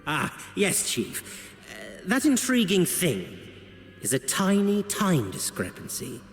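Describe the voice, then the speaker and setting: A slick, theatrical game officer reporting to his superior, his voice fairly high and swooping in pitch. He spins out his words in flowery, insinuating fashion, in an echoing hall. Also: huge pitch swings, heavily acted. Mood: sly, deferential. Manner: flowery, insinuating, deliberate storytelling. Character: suave, theatrical male subordinate officer reporting to his chief. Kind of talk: video game voice acting. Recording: echoey hall reverb with music.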